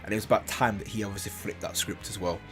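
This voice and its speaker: Chatty low-voiced man reacting. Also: fairly even intonation, very fast, music in the background. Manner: enthusiastic, chatty, repeats himself often. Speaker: reaction video host. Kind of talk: casual commentary on a game character.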